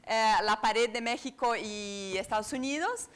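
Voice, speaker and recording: A woman's voice: a little high, measured pace, fairly bright tone, clear, resonant tone. A woman with an Argentine Spanish accent giving a conference talk, searching for her words with many fillers and restarts and checking in with the audience. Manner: asks the room for agreement with tag questions. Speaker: conference speaker on astrology. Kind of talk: lecture to a live audience.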